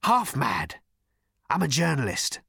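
A man voicing a half-mad wounded journalist in a storybook, hoarse and whimpering, with a tremulous, drawn-out, hysterical delivery. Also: huge pitch swings, fairly bright tone, no echo, studio quality, exaggerated voice. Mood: feverish, distressed. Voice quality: hoarse, whimpering, high and strained. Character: wild-eyed, half-mad wounded journalist, weeping and shrieking.

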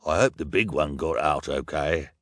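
A snarling villain boss from a storybook, acted out by a male narrator. He is impatient and menacing, barking contemptuous orders in a low-to-middling, very dry close voice. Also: moderate pace, dry recording. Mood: contemptuous, commanding. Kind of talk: audiobook character acting.

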